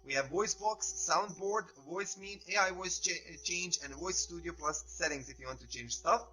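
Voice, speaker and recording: High-pitched man presenting a software demo. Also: normal speed, reverberant, low-quality recording. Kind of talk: casual demonstration talk.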